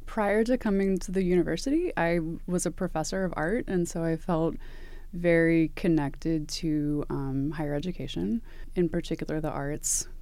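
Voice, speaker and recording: A woman in her thirties with a low, mellow voice, answering an interviewer's questions about her art. She talks thoughtfully, pausing with ums and restarting her sentences. The sound is dry and close. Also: dry recording. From East Tennessee, USA. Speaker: artist and academic advisor.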